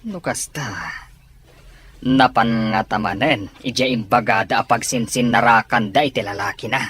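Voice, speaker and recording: A man's voice: mid-range voice, a little slow, a bit bright, husky. A man playing a stubborn, dismissive father in an Ilocano radio drama, with an Ilocano accent. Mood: grim.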